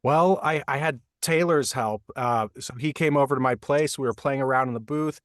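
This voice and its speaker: A man who works as a voice actor, explaining his craft in a relaxed, thoughtful way with many fillers, from a home recording booth. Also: mid-range voice, normal speed, dry recording, clean studio sound. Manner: thoughtful, frequent fillers like "like" and "you know". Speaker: voice actor guest. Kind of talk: casual interview answers.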